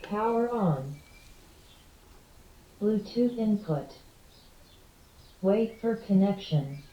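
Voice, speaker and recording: A synthetic woman's voice giving short status announcements as a device voice prompt, played through a small loudspeaker. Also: mid-range voice, animated, very slow. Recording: dull, roomy playback from small speaker.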